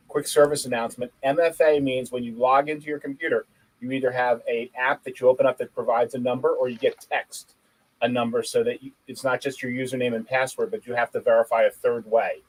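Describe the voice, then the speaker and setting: A man co-hosting a tech podcast, chatting casually and telling a story in an animated, joking way. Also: medium pitch, no echo, rough recording. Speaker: podcast co-host. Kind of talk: casual chat.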